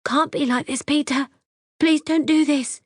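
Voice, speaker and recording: A woman voicing a distraught young woman who pleads and sobs in a shrill, high voice. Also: normal speed, dry recording, studio quality. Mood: desperate pleading. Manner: shrill, high, sobbing pleas.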